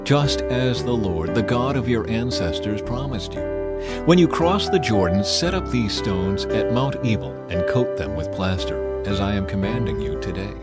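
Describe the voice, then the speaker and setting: A man's voice: fairly low pitch, animated. Solemn male scripture narrator over music. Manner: solemn, measured, declamatory. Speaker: audio Bible narrator. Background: music behind the voice.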